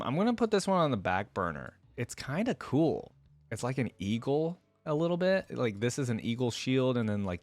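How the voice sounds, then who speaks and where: A man's voice: lowish voice, no room sound. A man hosting a reaction video, giving informal, off-the-cuff commentary and thinking aloud. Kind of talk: casual off-the-cuff reaction commentary on game skins.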